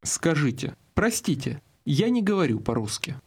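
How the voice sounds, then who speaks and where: Husky-voiced male course narrator. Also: a little high, very animated, normal speed. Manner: clear, guiding prompts. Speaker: language course instructor. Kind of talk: scripted instruction.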